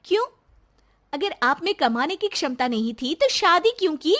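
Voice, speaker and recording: A woman's voice: high-pitched, animated, normal speed, bright, forceful tone, voice pushed up. A woman voicing an earnest, determined young wife and mother in a story, polite and pleading. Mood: reproachful.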